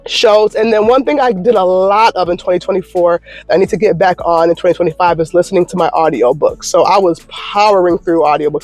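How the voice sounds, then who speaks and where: A woman's voice: fairly low pitch, a little mellow. A woman in her thirties from Michigan, chatting through a casual question-and-answer vlog from her parked car in an upbeat, encouraging way. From Michigan, United States. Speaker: YouTuber. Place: parked car in a parking lot.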